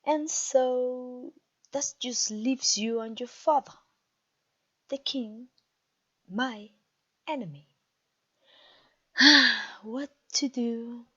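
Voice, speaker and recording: A woman voicing an imperious, vengeful vampire queen in a fantasy audio roleplay, taunting a captive with theatrical, deliberate pauses. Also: fairly high pitch, sharp tone, slightly rough, lo-fi sound, heavily acted. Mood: brooding, bitter. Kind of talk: scripted ASMR fantasy roleplay audio drama.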